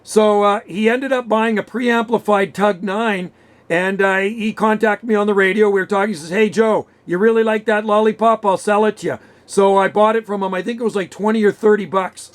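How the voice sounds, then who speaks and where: A man's voice: sits high, steady tone, moderate pace. A Canadian man of about sixty, chatty and enthusiastic, telling stories in casual radio conversation. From western Canada. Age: around 60. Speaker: CB radio hobbyist. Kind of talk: casual radio chat, reminiscing. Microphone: vintage desk radio microphone.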